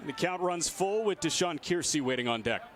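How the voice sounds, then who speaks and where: A man's voice: on the high side, lively intonation, normal speed, fairly bright tone. Man calling baseball over ballpark noise. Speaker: radio baseball play-by-play announcer. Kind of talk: live baseball play-by-play commentary. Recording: outdoor stadium ambience behind voice. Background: ballpark ambience.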